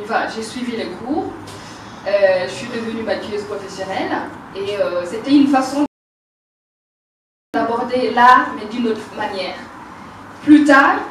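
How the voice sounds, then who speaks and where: Woman, 40s, Burkinabe French accent, animated storyteller with hesitations; slightly high voice, distant mic in a reverberant room. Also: slight background noise. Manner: animated, laughing, many hesitations. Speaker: makeup artist telling her life story. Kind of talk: personal life story talk. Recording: roomy, distant mic, faint ambience.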